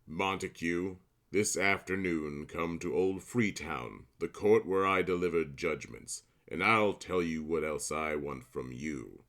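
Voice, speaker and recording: A man's voice: low voice, unhurried, fairly bright tone. An actor playing a stern, commanding male prince who scolds feuding families in an audio drama. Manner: authoritative, scolding.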